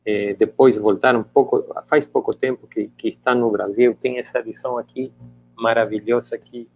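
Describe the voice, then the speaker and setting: A man's voice: mid-range voice, steady tone, normal speed, a little mellow, soft background music. A middle-aged man whose native language is Spanish, talking reflectively in an interview with many hesitant restarts. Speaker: interviewee, author.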